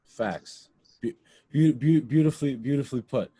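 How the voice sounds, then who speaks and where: A man's voice: medium pitch, normal speed, warm tone, breathy edge. A man hosting a casual interview, speaking informally with slang and repeatedly restarting his words. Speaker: interviewer, show host.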